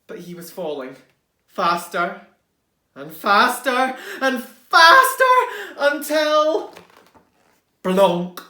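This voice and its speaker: A man reading a children's storybook aloud as a teacher, expressively, with dramatic pauses and hushed asides. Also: high voice, big pitch swings. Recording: roomy, echoing indoor sound.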